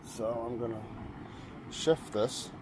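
A man's voice: medium pitch, slow pace. Man vlogging from a noisy beach. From Portland, Maine, USA. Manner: relaxed, explaining as he works, many fillers and restarts. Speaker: landscape photographer. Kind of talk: casual vlog narration while shooting. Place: rocky beach outdoors at dawn, waves behind. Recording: outdoor noise from waves. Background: surf and ambient noise.

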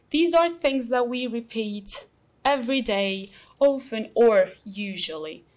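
Slow, patient Albanian teacher woman. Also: on the high side. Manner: slow, patient, explaining with examples. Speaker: English teacher. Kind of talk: recorded classroom lesson.

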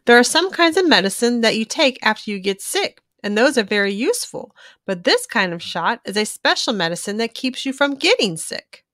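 Woman as a brisk, bright-pitched lady bear doctor. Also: sing-song, dry recording, clean studio sound. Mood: explaining, encouraging. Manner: businesslike, encouraging, explanatory.

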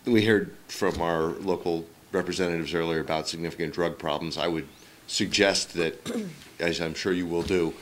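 A middle-aged man with a very low voice speaks into a podium microphone in a large meeting room. He is measured and lightly wry. A faint hiss sits under the recording. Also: steady tone, fairly bright tone. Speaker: resident giving public comment. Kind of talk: public comment at a school board meeting.

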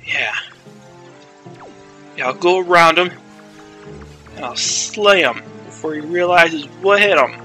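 A man's voice: a little high, lively intonation, slow pace, pauses often, bright, forceful tone, soft background music, pitched below the performer's own voice, full character voice. A man voicing a boastful, panicky young boy hero in a comedic video game dub, exclaiming and narrating himself dramatically. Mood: sneaky, boastful.

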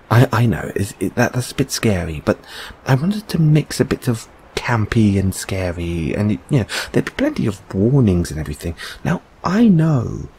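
Man playing a slow-talking, low-voiced gentle boyfriend. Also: very animated, a little hiss or hum. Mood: playful, then wistful. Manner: soft, halting, reassuring, with tag questions. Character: gentle, encouraging, dreamy boyfriend comforting his partner. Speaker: audio roleplay performer. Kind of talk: intimate boyfriend comfort audio roleplay.

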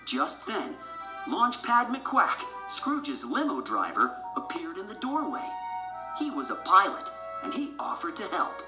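Animated, low-pitched woman narrating. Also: unhurried, reverberant, rough recording. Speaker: storybook narrator. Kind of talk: animated scripted children's story narration. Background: music.

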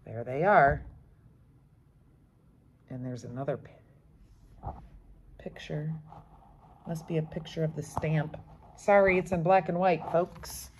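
A middle-aged woman, a teacher reading a children's book aloud in an expressive, deliberate way with explanatory asides. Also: sits low, slight background noise. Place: school classroom, indoors.

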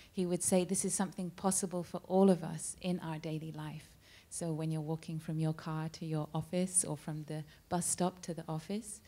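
A man, a monk, calmly answering audience questions at a cinema Q&A, unhurried and with frequent pauses and fillers. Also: on the high side. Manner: calm, unhurried, with many ums and uhs. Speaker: monk at a practice center. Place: cinema stage at a Q&A. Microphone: handheld mic.

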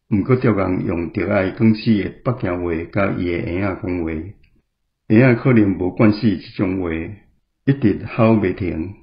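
A middle-aged man with a Taiwanese accent reading prepared literary commentary. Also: sits low. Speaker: guest commentator. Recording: dull lo-fi recording.

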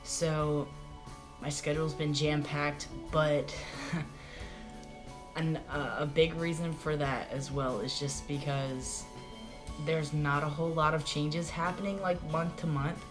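A young man talking casually to the camera in a small room at home, chatty and rambling with lots of "like" fillers, his voice prone to cracking and going hoarse. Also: on the high side, flat delivery, slow pace, over music. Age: young adult. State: voice goes hoarse easily. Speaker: vlogger. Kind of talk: casual video diary. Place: small bedroom at home, indoors. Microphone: camera in front at close range.

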